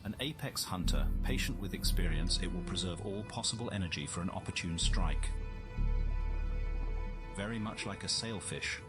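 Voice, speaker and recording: A man playing a grave wildlife documentary narrator, reading a script in a measured, solemn way. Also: low voice, expressive, over loud music. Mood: measured, suspenseful.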